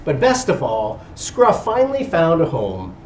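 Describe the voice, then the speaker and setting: A man giving an expressive picture-book reading to children, pausing for little asides. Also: fairly high pitch. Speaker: guest reader at a school. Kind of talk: animated picture-book read-aloud to children. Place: indoor school room with children. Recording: roomy, distant mic with background noise.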